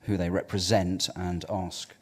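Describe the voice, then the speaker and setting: A man chairs a panel in a large auditorium, opening it to questions. His voice is very low. He is polite and a little halting. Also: flat delivery. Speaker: panel moderator.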